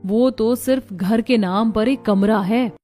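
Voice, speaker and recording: A woman's voice: on the high side, slow, mellow. A woman voicing worried daughters-in-law in a cartoon family melodrama, earnest and plaintive. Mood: complaining. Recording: music bed behind the voice.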